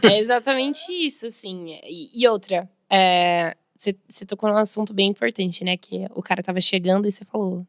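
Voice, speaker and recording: A woman's voice: medium pitch, moderate pace, hardly any pauses, dry recording. A young Brazilian woman answers as a podcast guest in a confident, emphatic way. She slips into voices for the people she quotes. Her voice sits mid-range and sounds close and dry. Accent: Brazilian Portuguese. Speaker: podcast guest, diversity and inclusion expert. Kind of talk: conversational interview answer.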